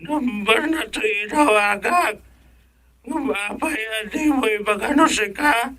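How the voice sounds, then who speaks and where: A man playing a cantankerous, impatient caller in an Ilocano radio comedy, scolding and exclaiming in drawn-out words with an Ilocano accent. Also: extremely high pitch, a crowd in the background. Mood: exasperated, scolding.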